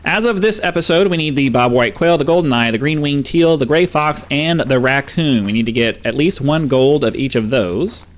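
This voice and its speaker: A man's voice: fairly high pitch, moderate pace, few pauses. Man casually commentating on a hunting game. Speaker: gaming video host. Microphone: close microphone.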